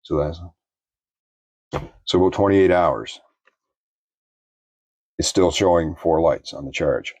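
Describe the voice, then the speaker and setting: Low-pitched man reviewing gear. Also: takes it slowly, pauses often, a little mellow, slightly rough, no room sound. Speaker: product reviewer. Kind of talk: unscripted product review.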